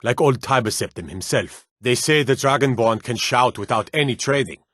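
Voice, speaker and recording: A man's voice: mid-range voice, animated, moderate pace, a bit bright, dry recording, clean studio sound. A man playing an earnest, superstitious Nord town guard and soldier in a fantasy video game, speaking in a plain, hardy way. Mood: awed.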